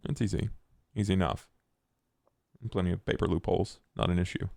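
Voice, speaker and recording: A man playing a stoic, cold male vampire accountant in a tabletop roleplay, with formal, terse, deadpan delivery. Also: deep voice, a little fast, vocal fry, no echo, studio quality. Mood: measured, pragmatic. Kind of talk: in-character tabletop roleplay acting.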